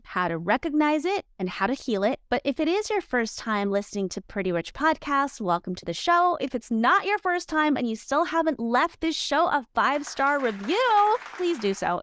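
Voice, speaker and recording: A woman's voice: a little high, no room sound, clean studio sound. A woman originally from the Philippines hosting her own podcast, speaking in an earnest, encouraging way straight to her listeners. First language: Filipino language. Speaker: podcast host. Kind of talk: motivational solo podcast talk. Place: home office.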